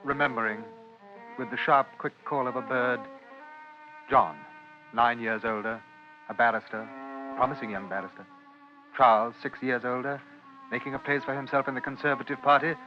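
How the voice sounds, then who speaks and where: An actor as the reflective narrator of a 1950s radio drama, speaking in measured, poetic fragments. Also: male voice, medium pitch, natural voice. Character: reflective narrator recalling a young man's memories. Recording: muffled old radio recording. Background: music.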